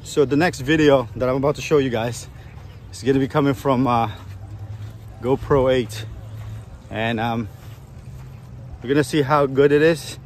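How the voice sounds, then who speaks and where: A man's voice: mid-range voice, normal speed, pauses often. A man in his thirties vlogging casually on a windy beach, filming himself with an action camera. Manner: relaxed, chatty, talking to his kids between remarks. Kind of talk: casual vlog narration to camera. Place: open sandy beach outdoors, windy. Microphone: action camera held at arm's length or chest-mounted. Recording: loud background music over outdoor wind.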